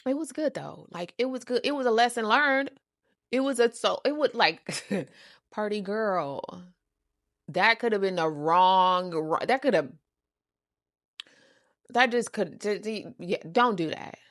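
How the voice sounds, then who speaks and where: A woman with an American accent telling a story casually, with a sassy, animated, emphatic and slangy delivery. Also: medium pitch, a little slow, long pauses, no room sound, clean studio sound. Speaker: storytime show host. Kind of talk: casual storytelling, acting out quoted conversations.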